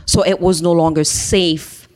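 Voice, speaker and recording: Low-voiced woman telling her story. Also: steady tone, darkish tone. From Haiti. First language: Haitian Creole. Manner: earnest, measured storytelling. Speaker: interview guest, business founder.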